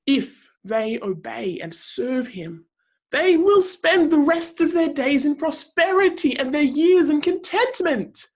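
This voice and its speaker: A woman playing a zealous counselor who proclaims God's might in a declamatory, dramatic scripture reading with deliberate pauses. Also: mid-range voice. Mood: fervent, urging.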